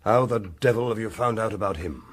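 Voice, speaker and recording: An actor playing a haughty, impatient Victorian lord with an upper-class English accent, curt and pompous, in period radio drama. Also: male voice, lowish voice, fairly quick, noisy background, close to an everyday voice. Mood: irritated.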